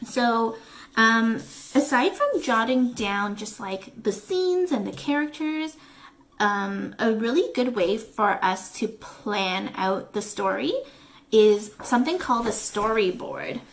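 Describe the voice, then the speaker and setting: A woman presenting an informal step-by-step craft tutorial, talking in a conversational, hesitant and halting way. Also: a little high, lively intonation, poor audio.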